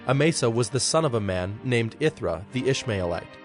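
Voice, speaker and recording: A man narrating scripture from a script in a measured, solemn manner. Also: medium pitch, lively intonation. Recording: music bed under narration.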